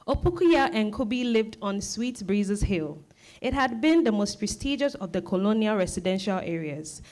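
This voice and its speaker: Woman narrator, mid-pitched storytelling. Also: darkish tone. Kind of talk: dramatic scripted story narration.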